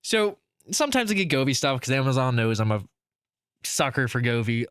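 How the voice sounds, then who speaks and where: A man's voice: mid-range voice, sing-song, a little fast, a bit bright, smooth. A man co-hosting a casual podcast, teasing his friend in a playful way and chatting loosely with plenty of fillers. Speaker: podcast co-host.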